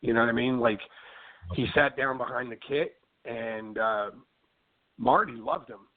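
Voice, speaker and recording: A man's voice: mid-range voice, unhurried. A man from Philadelphia with a Philly accent, a rock singer chatting casually in an interview, rambling with lots of fillers and restarts. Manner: rambling, many fillers and restarts, says "you know what I mean". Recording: tinny phone line.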